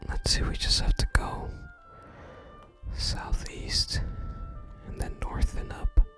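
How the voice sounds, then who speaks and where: Whispering ASMR gamer. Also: slow pace. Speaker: ASMR gaming creator. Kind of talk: whispered ASMR game playthrough. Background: game music.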